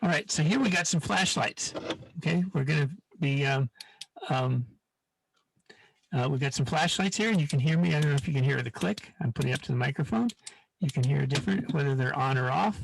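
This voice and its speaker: A man's voice: a little high, a little fast, gravelly, slight background noise, rough recording. A man leading an informal live online science demonstration, repeating words for emphasis and often checking in with the listeners.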